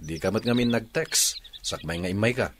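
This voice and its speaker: An actor playing a laid-back young former playboy in a radio drama. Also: male voice, fairly low pitch, moderate pace, a bit bright, slight background noise, close to an everyday voice. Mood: casual, subdued.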